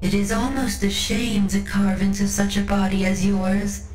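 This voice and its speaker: A woman playing a composed, coolly superior tech priest of a machine cult in a scripted ASMR roleplay, speaking formally and deliberately to the listener with faint condescension. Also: mid-range voice, flat delivery, recorded from a distance, lightly acted. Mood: admiring, faintly menacing. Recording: roomy echo over background music.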